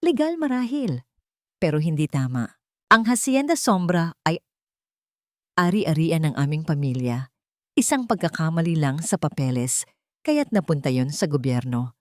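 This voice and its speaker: A woman with a Filipino accent voicing a cold, powerful, threatening rich man in a narrated story, speaking deliberately and clearly. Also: low voice, sing-song, no room sound, studio quality. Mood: smug, firm.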